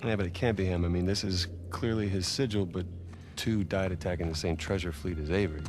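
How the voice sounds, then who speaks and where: Male actor as cocky treasure hunter, eager, breezy, sardonic, cinematic game dialogue, clear music underneath. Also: deep voice, fairly even intonation, fairly quick, natural voice. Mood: intrigued.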